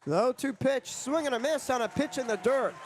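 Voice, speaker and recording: A man's voice: very high-pitched, animated, a little fast, slight background noise. A young man doing sports broadcast commentary as a play-by-play announcer and reporter. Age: young adult.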